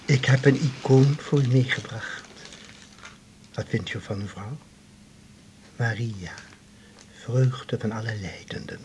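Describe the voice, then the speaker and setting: An actor playing a pompous, pleading disgraced doctor in a radio drama, with a breathy, husky voice and halting solemn phrasing. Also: male voice, mid-range voice, darkish tone, a little hiss or hum, lightly acted. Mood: solemn, wheedling. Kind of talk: naturalistic Dutch radio drama acting.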